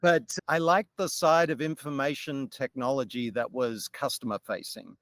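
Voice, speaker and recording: A middle-aged Australian man, a podcast guest, talking in a measured, articulate way about his career. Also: medium pitch, steady tone, a bit bright, no room sound, studio quality. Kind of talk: podcast interview.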